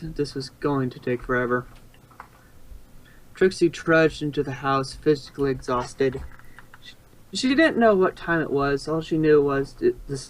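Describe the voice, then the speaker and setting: A man's voice: a little high, rough recording. A man narrating fan fiction as an amateur storyteller, sometimes stumbling and repeating phrases.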